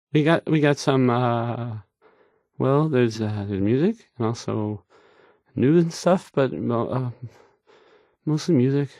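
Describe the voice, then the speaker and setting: A man's voice: medium pitch, takes it slowly, long pauses, warm tone, no echo. A man playing an awkward, nervous young radio DJ in a video game, stammering, flustered and full of self-doubt as he trails off. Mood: self-deprecating, nervous. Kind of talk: scripted game voice acting, halting radio patter.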